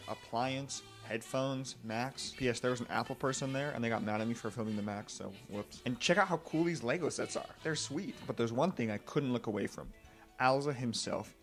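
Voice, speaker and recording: A young American man talks through his travel vlog with upbeat, joking narration and dry asides. He speaks at an ordinary pitch into a handheld mic. Clear background music plays under his voice. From United States. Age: young adult. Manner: upbeat, jokey, deadpan asides. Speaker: travel vlogger. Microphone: handheld mic held at chest. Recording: voice-over with music bed.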